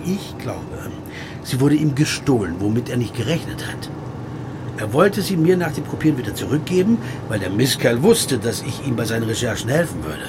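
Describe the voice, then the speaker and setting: A man's voice: mid-range voice, sing-song, moderate pace, noisy background, close to an everyday voice. An actor playing a jazz pianist who narrates his own story in a dry, understated way and talks with friends. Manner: thoughtful first-person narration, dry and understated. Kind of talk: audio drama narration and dialogue. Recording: music bed underneath.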